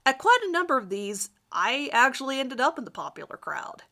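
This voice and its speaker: A woman's voice: high voice, big pitch swings, brisk, bright, forceful tone, full character voice. A woman voicing a vain, lovestruck teenage boy who narrates his crush with overwrought emphasis in a melodramatic fan fiction reading. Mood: frustrated, boastful.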